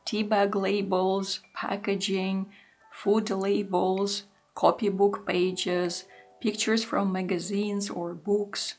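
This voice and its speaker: Woman in her thirties, gentle reflective voice-over, slight fry at phrase ends. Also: medium pitch. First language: Russian. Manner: calm, unhurried, introspective. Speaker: art journaling creator.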